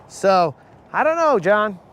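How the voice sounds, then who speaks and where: A man hosting a live fishing stream from a boat, talking casually with viewers in a hoarse voice. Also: high-pitched, big pitch swings, takes it slowly, fairly bright tone. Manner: casual, slangy, calls viewers bro. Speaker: fishing channel host. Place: outdoors on a boat on a lake.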